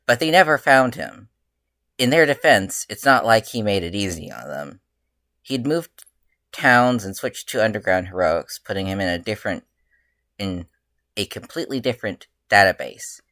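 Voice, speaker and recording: A person reading their own fan fiction aloud as narrator, with expressive, emphatic delivery. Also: deep voice, normal speed, dry recording, studio quality.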